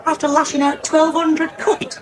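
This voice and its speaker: An actor playing a brash, cocky young farmer, gloating and needling in a naturalistic radio drama. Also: male voice, extremely high pitch, little pitch movement, moderate pace. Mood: teasing. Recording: pub chatter behind. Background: pub crowd.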